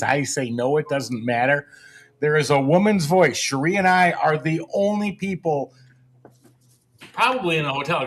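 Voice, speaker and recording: A man's voice: fairly high pitch, fairly bright tone. A man talking casually and explaining things as a paranormal investigator and guest.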